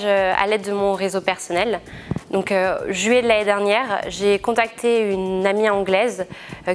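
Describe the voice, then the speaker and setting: Young female student, mid-range voice, earnest formal testimonial delivery. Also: mid-range voice. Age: young adult. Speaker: student back from internship abroad. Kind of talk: interview testimonial.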